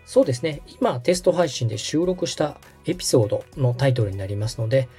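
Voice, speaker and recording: Man, mellow tone, animated pitch, patient tutorial delivery, polite, background music. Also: fast talker, husky. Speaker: host and radio director guiding a setup. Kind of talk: conversational step-by-step tutorial.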